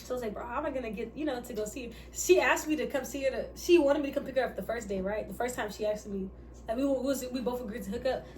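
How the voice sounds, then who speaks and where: A woman with a light, slightly high voice rambles casually and loses her place mid-story. She sits back from the mic, and a faint hiss runs underneath. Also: racing, a little mellow, far from the mic. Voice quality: light, somewhat feminine voice. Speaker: vlogger answering couple questions. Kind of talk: casual mukbang chat.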